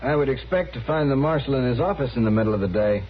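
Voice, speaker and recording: An actor playing an officious, demanding gentleman with a prim, insistent manner in an old-time radio western. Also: mid-range voice, animated, fast talker, close to an everyday voice. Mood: officious, impatient. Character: officious, demanding, self-assured brother-in-law, adult man. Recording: muffled, noisy old radio recording.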